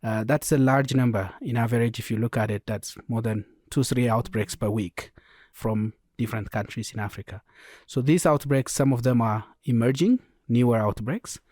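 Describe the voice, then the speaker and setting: A man's voice: mid-range voice, normal speed, a little mellow, no echo. Ethiopian man explaining, close dry sound. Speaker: genomics programme lead, interview guest. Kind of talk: explanatory podcast interview.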